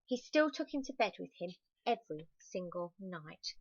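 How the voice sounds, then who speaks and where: A woman reading a children's story aloud expressively, stressing key words and slipping in soft, chatty asides to her young listeners. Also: on the low side, sing-song, moderate pace, dry recording, muffled recording.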